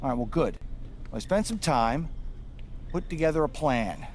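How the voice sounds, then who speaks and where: An actor playing a supportive, wry American basketball coach who talks in a conversational, encouraging way in a video game scene. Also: male voice, on the high side, animated, normal speed, slight background noise, natural voice. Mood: encouraging, firm. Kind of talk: naturalistic video game cutscene acting.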